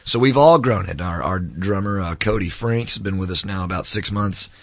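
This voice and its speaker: A hoarse man, hosting a podcast from a recording studio in a lively, mock-grandiose, folksy way. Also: low voice, normal speed, no echo, dull audio. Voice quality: raspy. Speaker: podcast host. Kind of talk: casual chat.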